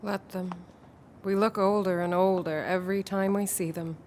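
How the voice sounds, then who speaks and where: A woman's voice: medium pitch, steady tone, normal speed, darkish tone, natural voice. Woman as a haunted, fearful dreamer, faint noise behind. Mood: guarded. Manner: formal, grave, theatrical diction. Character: haunted, fearful, secretive intellectual dreamer, gravely urgent. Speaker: actor in a radio drama. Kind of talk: dramatic radio horror acting.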